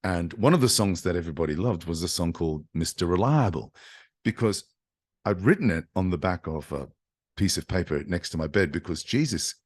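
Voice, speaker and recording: A man, a singer-songwriter, telling a story in a chatty, animated way to an online audience over a video call. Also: deep voice, fairly quick, breathy edge. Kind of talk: casual talk to an online audience.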